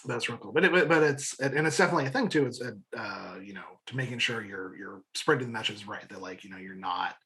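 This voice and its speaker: A man's voice: fairly low pitch, quick pace, hardly any pauses, a bit bright. A man chatting casually on a panel as a podcast creator, hesitant and repeating his words as he rambles along. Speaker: audio drama podcast creator.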